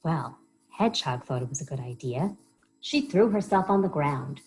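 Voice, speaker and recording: A woman reading a children's story aloud as a library storyteller, expressive and often pausing to put questions to young listeners. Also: low voice, faint music behind.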